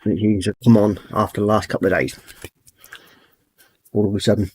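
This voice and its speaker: Croaky-throated man, low and dry. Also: steady tone, fairly quick, a little mellow, dry recording. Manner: easygoing, rambling, reads out chat messages. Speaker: livestream host. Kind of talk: casual livestream chat while painting models.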